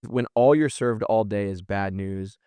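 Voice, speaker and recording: A man's voice: fairly low pitch, a little slow, warm tone, smooth, no room sound. A man in his thirties, a podcast guest telling stories casually and informally, with "like" peppered through his talk. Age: 30s. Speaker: podcast guest, marketing business owner. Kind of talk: casual conversational storytelling.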